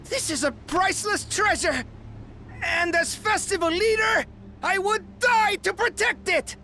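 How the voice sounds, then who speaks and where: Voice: very high-pitched, a little slow, sharp tone, a little hiss or hum, heavily acted. An actor voicing a small, earnest bird festival leader in a video game, speaking with impassioned, proud devotion. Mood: defiant pride.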